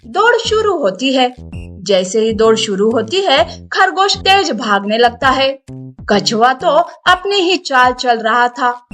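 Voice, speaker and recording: A woman narrates a children's moral story from a script. Her voice is very high and her pace is slow, with faint music behind her. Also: big pitch swings, fairly bright tone.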